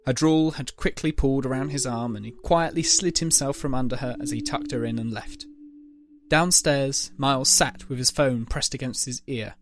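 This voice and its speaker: A man's voice: medium pitch, normal speed. A man narrating a scripted dramatic story as the narrator of an audio drama.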